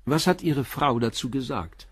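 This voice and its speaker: An actor in a German crime radio play playing a calm, persistent middle-aged police commissioner who questions in a measured, blunt way. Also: male voice, mid-range voice, breathy edge, no room sound, natural voice. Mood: insistent, confronting.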